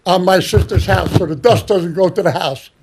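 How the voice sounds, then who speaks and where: A man with a raspy, hoarse voice, a resident speaking at public comment in a planning board meeting. He sounds worried and insistent and keeps circling back to the same points. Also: high-pitched, moderate pace, background noise.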